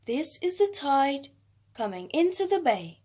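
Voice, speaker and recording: A woman reading a rhyming children's story aloud in an expressive, measured way. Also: high-pitched.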